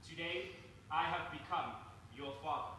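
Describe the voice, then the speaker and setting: A man's voice: a little high, fairly even intonation, moderate pace, sharp tone. A man giving a scripted reading of scripture as a speech. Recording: very echoey, distant, faint noise.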